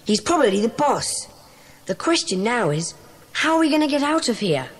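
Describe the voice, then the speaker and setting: A woman's voice: a little high, big pitch swings, normal speed, slightly rough. A woman playing a sensible, alert English schoolboy sleuth in an audio drama, earnest and urgent. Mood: worried, puzzled. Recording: reverberant, faintly noisy recording.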